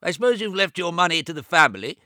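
A fussy, money-minded deaf old man who keeps repeating himself, played by a man narrating a storybook. His voice is pushed high and loud, swinging widely in pitch, bright and dry. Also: big pitch swings, quick pace, no echo, heavily acted. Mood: fretful. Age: over 70. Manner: fretful, repetitive, nagging.

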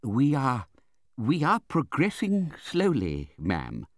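A man's voice: mid-range voice, wildly swooping pitch, takes it slowly, dry recording, studio quality, voice pushed up, full character voice. A man playing a meek, hesitant little doctor. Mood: hesitant, polite. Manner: hesitant, deferential, repeats himself. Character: meek, mild, polite male country doctor. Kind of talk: dramatised audiobook reading of a classic novel.

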